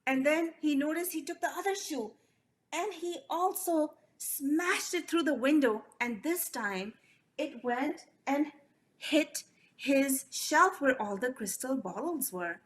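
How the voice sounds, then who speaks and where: A woman storyteller whose first language is Urdu, telling children's folk tales with lively expression. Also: high voice, takes it slowly. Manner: animated, expressive, addresses the children. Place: library event.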